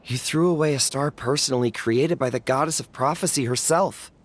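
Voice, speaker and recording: A man playing an earnest, humble, courteous subject of a monarch in an anime game, speaking sincerely and in measured phrases. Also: mid-range voice, slight background noise, natural voice. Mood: earnest pleading. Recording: music under the voice.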